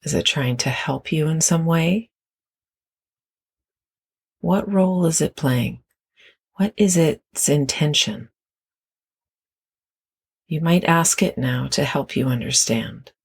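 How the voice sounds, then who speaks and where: Slow, husky meditation guide with creaky voice. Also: mid-range voice. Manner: gentle, unhurried instruction with long pauses.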